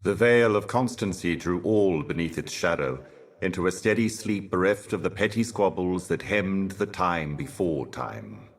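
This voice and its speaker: An actor playing a grave, cryptic otherworldly envoy in a video game, speaking in a formal, oracular manner. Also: male voice, low voice, expressive, unhurried. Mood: ominous, reverent. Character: solemn, cryptic otherworldly male envoy of a cosmic mistress. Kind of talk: video game character voice lines.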